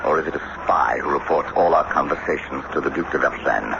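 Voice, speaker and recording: An actor playing a shrewd, excitable statesman and husband in a period radio drama, theatrical and prone to stammer when flustered. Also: very deep voice, fast, gravelly. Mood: wry, scheming. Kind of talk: old-time radio drama acting. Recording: muffled, noisy vintage radio recording.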